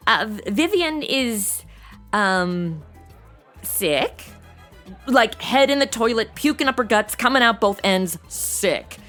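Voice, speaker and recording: A woman acts a brash young showgirl impostor in a comedy noir audio drama. Her voice is fairly high and very lively, full of sarcasm and blunt modern slang, with music underneath. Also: slow pace. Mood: bluffing.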